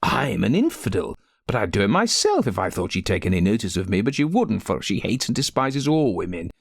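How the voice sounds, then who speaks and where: A man's voice: lowish voice, sing-song, fairly quick, vocal fry, no room sound, clean studio sound, full character voice. A man voicing a bossy, indignant rector's wife in an audiobook, emphatic and insistent. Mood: indignant, scornful. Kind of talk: audiobook character voice.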